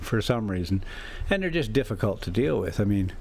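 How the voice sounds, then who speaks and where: A man's voice: on the low side, very animated, a little fast, creaky, darkish tone, husky. An American man chatting casually as a travel vlogger on a drive, rambling in a folksy, teasing, sarcastic way. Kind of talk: casual rambling chat and ranting.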